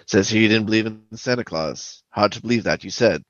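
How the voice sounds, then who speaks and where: A man acts out a stern detective from a spoof 1950s radio police drama. His low voice is deadpan and clipped. The sound is dry and a little dull. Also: a little fast, dry recording, lightly acted.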